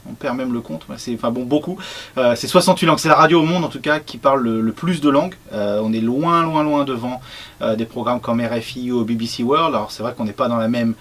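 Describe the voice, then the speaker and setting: A man's voice: mid-range voice, fast. A man who heads a radio program, casually presenting his team and the station's history, hesitating often. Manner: relaxed, hesitant with many fillers.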